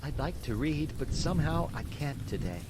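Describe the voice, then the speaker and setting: Guy doing an excitable wooden puppet boy for bedtime story, impulsive, very animated, rain in background. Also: on the high side, moderate pace, background noise, pitched above the performer's own voice, lightly acted. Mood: curious, eager. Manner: excitable, dramatic self-talk. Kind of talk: bedtime storybook character reading. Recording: steady rain sounds behind the voice.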